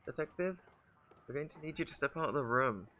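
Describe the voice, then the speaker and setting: A man playing a brisk, businesslike police officer in an audio roleplay, speaking in an official manner. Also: a little high, animated, muffled recording, a little hiss or hum, close to an everyday voice. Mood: stern, urgent.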